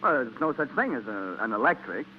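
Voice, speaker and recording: A man's voice: mid-range voice, sing-song, fairly quick. An American man acting as straight man in old radio comedy banter. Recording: muffled, very noisy 1940s radio broadcast.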